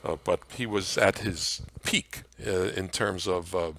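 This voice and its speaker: A man presenting an informal slide lecture on old-time radio history in a halting way, with frequent hesitations. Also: low-pitched, fairly bright tone, no room sound.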